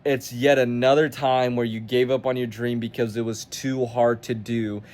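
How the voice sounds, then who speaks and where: A man's voice: medium pitch, level tone, normal speed, a little mellow. Blunt, profane nineteen-year-old man. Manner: blunt, profane, confrontational, addressing the viewer directly. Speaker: self-improvement vlogger. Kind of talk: motivational rant to camera.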